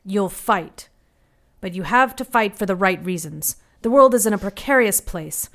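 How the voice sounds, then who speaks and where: A woman's voice: medium pitch, animated, normal speed, close to an everyday voice. An actress playing a stern, zealous Mother Superior in an audio drama, speaking with grave, formal insistence.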